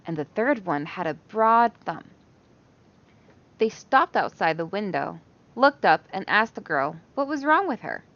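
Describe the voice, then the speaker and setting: Female storyteller, expressive bedtime reading for kids, mid-range voice, muffled recording. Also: mid-range voice, measured pace. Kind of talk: expressive bedtime story read aloud for children.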